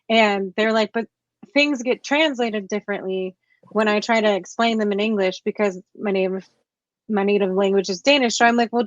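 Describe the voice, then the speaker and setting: A woman's voice: medium pitch, dry recording, lo-fi sound. A woman interviewing a guest in casual conversation, chatty and full of restarts and fillers.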